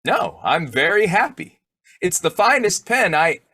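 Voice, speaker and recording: A man's voice: sits high, sing-song, a little slow, bright, forceful tone, a little hiss or hum, heavily acted. A man acting a snoopy, folksy husband who cracks slangy jokes in an old-time radio comedy. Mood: curious, pleased. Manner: folksy, jokey, slangy delivery.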